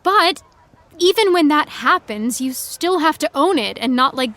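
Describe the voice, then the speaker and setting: A woman's voice: high voice, expressive, measured pace, fairly bright tone. A blunt geeky 18 year old girl, sardonic, takes her time talking, audio drama feel. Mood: blunt, exasperated. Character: disillusioned, blunt eighteen-year-old fanfiction writer girl. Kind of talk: naturalistic audio drama dialogue.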